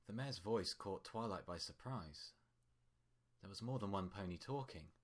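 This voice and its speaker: Low voiced guy narrating a story, reading it off a script like an audiobook. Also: lively intonation, moderate pace.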